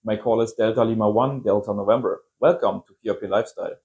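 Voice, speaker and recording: Mellow-voiced German-accented man. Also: fairly low pitch, steady tone, fast talker, lo-fi sound. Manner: simple non-native English phrasing. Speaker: amateur radio vlogger. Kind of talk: casual explainer to viewers.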